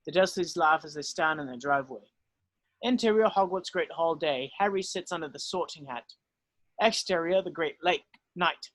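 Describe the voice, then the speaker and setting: A man's voice: fairly high pitch, normal speed, a bit bright. A man narrating stage directions for a script table read.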